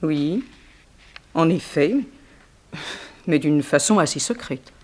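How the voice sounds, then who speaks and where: A woman's voice: deep voice, expressive, slow, a little mellow, full character voice. Wronged upper-class woman, formal, precise, genteel, guarded dignity, 1960s French radio drama. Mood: confiding, dignified.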